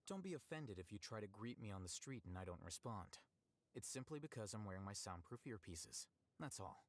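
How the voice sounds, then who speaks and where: An aloof young scholar from a video game, with a low, calm voice. He speaks in measured, precise phrases and sounds detached and deadpan, as if he would rather be left alone with his books. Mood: aloof, matter-of-fact. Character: aloof, calm, bookish young male scholar who shuns company. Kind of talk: scripted video game voice lines.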